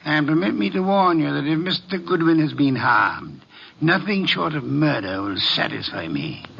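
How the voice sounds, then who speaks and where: An actor playing a pompous, irritable older detective in a vintage radio drama, with formal and elaborate diction. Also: male voice, fairly high pitch, big pitch swings, moderate pace, gravelly, noisy background. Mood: haughty, stern. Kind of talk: 1940s-50s radio detective acting. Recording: dull vintage radio recording.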